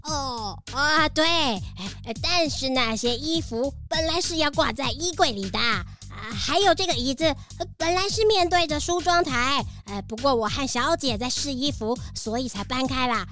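Lady doing a high bright nervous maid, stammery and apologetic. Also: animated, normal speed, few pauses. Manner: jittery and apologetic, lots of nervous fillers. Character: flustered young maid, nervous and eager to please. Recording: light music bed.

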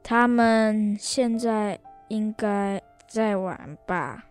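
A woman's voice: mid-range voice, drawn-out words, clear, resonant tone, soft background music. A young female performer playing a responsible, earnest boy, the elder brother, in a children's radio drama. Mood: gentle. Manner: earnest, considerate.

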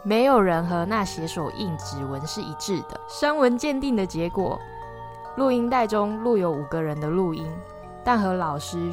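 A woman reading a scripted story as a podcast narrator. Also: lowish voice.

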